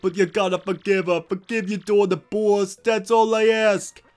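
A man's voice: sits high, fairly even intonation, moderate pace, darkish tone, close to an everyday voice. A man voicing an earnest, loyal young mobster in a game, urgently pleading with his boss.

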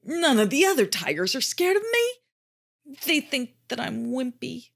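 A timid young male tiger from a spoken storybook, high and excitable, his voice rising and falling a lot, as a woman storyteller puts him on. He talks slowly and gently, eager to please. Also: slightly rough, full character voice. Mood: sad.

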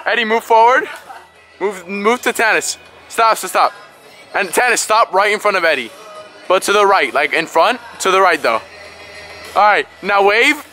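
A young man vlogging in a casual, joking, excitable way with friends, swearing freely. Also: sits high. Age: young adult. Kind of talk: casual chat to camera and friends. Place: school hallway and residential driveway outdoors. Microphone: handheld camera at arm's length.

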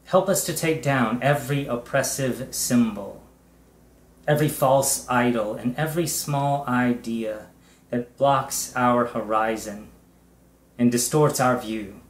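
A middle-aged man, a pastor, leading a prayer in a measured, reverent way, in short phrases with long pauses. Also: mid-range voice. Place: indoors, small room with bare wall. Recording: echoey room.

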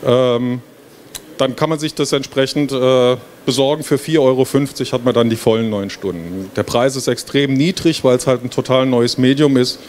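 Middle-aged guy explaining a project on stage, informal, medium pitch, bit of fair hall noise behind. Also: darkish tone. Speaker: university professor presenting a project. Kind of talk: conference presentation, explanatory and informal. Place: stage in a book fair hall before a small audience. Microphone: handheld microphone.

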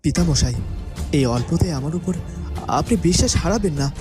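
Man doing earnest young cartoon prince, a bit high, pleading, music behind. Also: lively intonation, fairly quick, close to an everyday voice.

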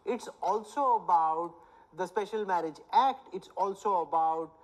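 A man's voice: high voice, measured pace, poor audio. A man anchoring a live televised panel debate, firmly steering and cutting in on speakers. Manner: firm, interjecting, steering speakers. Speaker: news anchor moderating a debate.

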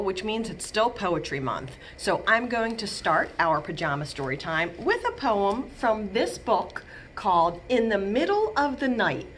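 An American woman in her thirties reading a picture book aloud to children as a librarian, in an expressive, clearly paced way. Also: mid-range voice, a little hiss or hum. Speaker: children's librarian and storytime reader. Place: small bright indoor room.

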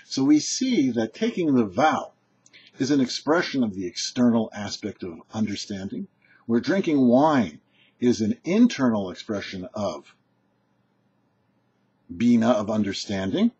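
An older man, a rabbi in his sixties, giving a short, deliberate religious lesson in an explanatory, didactic way. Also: medium pitch, big pitch swings, a little mellow, breathy edge. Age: older, about 60s. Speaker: rabbi hosting a weekly Torah portion show. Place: indoor home office or study. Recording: muffled, dull webcam audio.

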